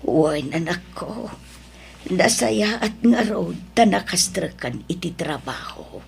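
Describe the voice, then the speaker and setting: A woman acting an ailing mother in an Ilocano drama. She speaks very slowly in a low, gravelly, worried voice, over faint ambient noise. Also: lively intonation, exaggerated voice. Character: ailing, slow-spoken mother worried about money.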